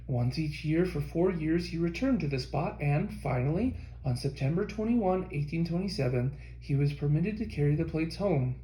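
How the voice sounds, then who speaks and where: A man reading a history book aloud as an audiobook narrator, in a measured scripted delivery. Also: on the high side, lively intonation, mellow, echoey room, poor audio. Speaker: audiobook reader.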